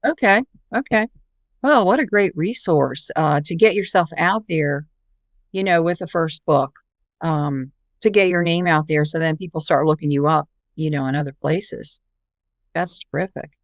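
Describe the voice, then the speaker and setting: Lower-pitched woman interview host, dry sound. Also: moderate pace, dry recording. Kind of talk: conversational interviewing.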